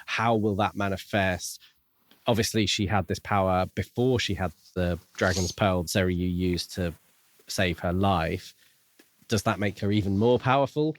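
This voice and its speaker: A man's voice: deep voice, a little slow, dry recording. A man co-hosting a podcast, musing aloud about a book in a casual, conversational way. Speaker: podcast co-host. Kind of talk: book discussion, casual analytical chat.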